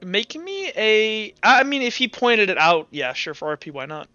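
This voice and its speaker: Male game master, higher-pitched and very clear, informal and halting, improvising narration over faint music. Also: very animated, pushed and bright, dry recording, muffled recording.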